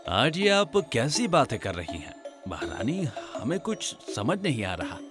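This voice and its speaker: A man's voice: mid-range voice, huge pitch swings, a little slow, music in the background. A man playing a dignified, secretive king in a cartoon story, speaking formally and with measure. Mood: reluctant.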